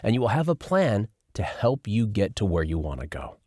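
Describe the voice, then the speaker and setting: A man narrating a first-person nonfiction audiobook in an expressive, lively reading style. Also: on the low side, normal speed, no room sound, studio quality.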